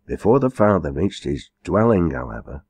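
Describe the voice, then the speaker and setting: A man reading a storybook aloud as scripted narration, in a measured, formal storytelling manner. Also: deep voice, sing-song, darkish tone, husky, no echo. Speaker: audiobook reader.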